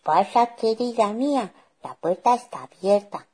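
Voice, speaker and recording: A woman's voice: fairly high pitch, expressive, unhurried, a little mellow, breathy edge, voice pushed up. A woman voicing an affectionate, welcoming old grandmother in a storybook tale. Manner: endearing, welcoming.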